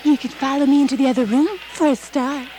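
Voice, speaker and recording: A woman's voice: sits high, steady tone, quick pace, very noisy, lightly acted. A woman playing an alluring, urgent accomplice in a satirical radio play. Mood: coaxing, urgent. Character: sultry, urgent female accomplice seducing a disc jockey.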